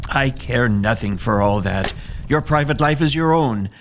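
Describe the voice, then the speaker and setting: Ardent, lively-voiced romantic gentleman. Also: mid-range voice, unhurried, slight background noise. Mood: pleading devotion. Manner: earnest, romantic, emphatic. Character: ardent, devoted Victorian gentleman suitor. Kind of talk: period audio drama acting.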